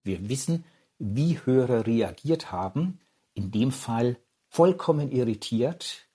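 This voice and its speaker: A man's voice: mid-range voice, lively intonation, takes it slowly, mellow, breathy edge. A man explaining as an expert interviewee, reflective and sometimes searching for words. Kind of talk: spontaneous explanation.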